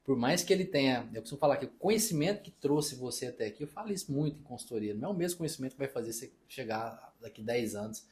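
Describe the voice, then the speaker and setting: Fast-talking Brazilian man telling stories. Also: medium pitch, lively intonation, a little mellow. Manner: fast-talking, storytelling, reenacts dialogue. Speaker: accountant and business partner. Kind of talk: casual podcast conversation.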